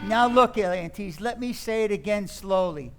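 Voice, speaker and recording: Man playing high-voiced excitable nephew. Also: normal speed, faint music behind. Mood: flustered, incredulous. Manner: stammering when flustered. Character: sardonic drama critic nephew, excitable and incredulous. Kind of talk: old time radio acting.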